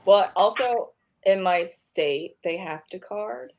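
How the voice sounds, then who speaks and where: A woman's voice: mid-range voice, slow pace, darkish tone. A woman of thirty chatting casually as a podcast guest, rambling and laughing as she talks. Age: 30s. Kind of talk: casual group chat.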